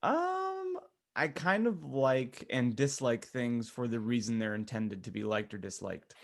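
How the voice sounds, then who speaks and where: Mid-pitched man thinking aloud casually. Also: very animated, unhurried, creaky. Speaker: podcast co-host. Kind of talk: casual chat.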